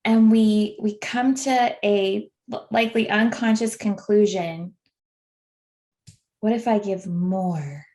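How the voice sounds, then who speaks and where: A woman hosting a podcast in a measured, affirming way, summing up her guest's ideas and asking follow-up questions. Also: medium pitch, steady tone, dark tone. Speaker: podcast host. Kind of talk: casual podcast conversation.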